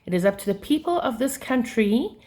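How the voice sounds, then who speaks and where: A South African woman venting about her drive, with frequent throat clearing as she gets over a sinus attack. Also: on the high side, big pitch swings, fairly quick, a little mellow. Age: adult mother of a schoolchild. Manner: frustrated, passionate, many fillers. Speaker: vlogger. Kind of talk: casual to-camera rant.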